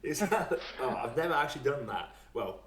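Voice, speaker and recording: A man's voice: medium pitch, sing-song, brisk, fairly bright tone, far from the mic, a little hiss or hum. A man hosting a podcast in casual chat, talking in a wry, rambling, conversational way. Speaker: podcast host. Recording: roomy, echoey home recording.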